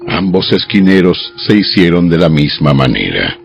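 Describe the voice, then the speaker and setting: A man reading a dramatized Bible narration in a measured, solemn storytelling manner. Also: sits low, big pitch swings, vocal fry. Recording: dull lo-fi audio over music. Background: music.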